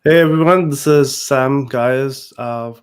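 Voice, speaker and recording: A man's voice: medium pitch, takes it slowly, clear, resonant tone. A man from Ohio, an IT professional and panel guest on a video call, speaking plainly and steadily.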